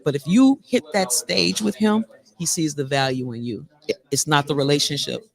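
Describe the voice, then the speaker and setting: A woman's voice: low voice, moderate pace, gravelly, low-quality recording. A middle-aged woman speaking as an interview guest, casual, earnest and emphatic, often repeating phrases. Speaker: stage actor interview guest. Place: on location backstage after a stage play.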